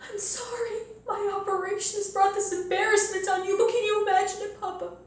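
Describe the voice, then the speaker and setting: A woman playing a bitter, wounded young Southern daughter in a stage monologue, deliberate, pleading and accusatory, with heavy pauses. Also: very high-pitched, level tone. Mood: anguished. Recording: echoey room, faint noise.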